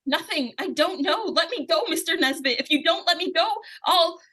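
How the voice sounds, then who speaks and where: A woman's voice: very high-pitched, moderate pace, sharp tone. A woman acting an inquisitive, innocent-seeming young girl in 1940s radio suspense style, with a hesitant, artless, questioning manner. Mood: frightened, pleading.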